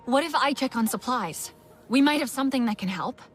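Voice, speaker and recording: Gentle, earnest female alien fighter. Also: high-pitched, expressive, brisk, faint music behind. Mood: concerned. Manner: gentle, sincere, supportive. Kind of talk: video game character acting.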